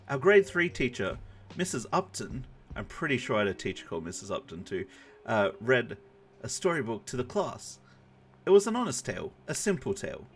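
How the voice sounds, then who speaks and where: A speaker reading a children's book dramatically in a group, slipping into casual asides. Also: medium pitch. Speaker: reader in an online group reading.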